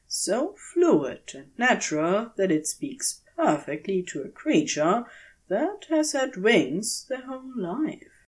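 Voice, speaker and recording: A woman reading a story aloud as a scripted fiction narration, with measured, expressive storytelling. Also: mid-range voice. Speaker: podfic narrator.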